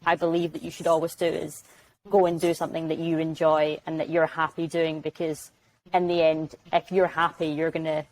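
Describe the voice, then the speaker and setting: A woman's voice: deep voice, little pitch movement, a little fast, dry recording, rough recording. A young woman from Glasgow with a Glaswegian accent, chatting as a guest on a video-call interview and acting out little quoted exchanges. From Glasgow, Scotland. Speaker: guest, sales development rep.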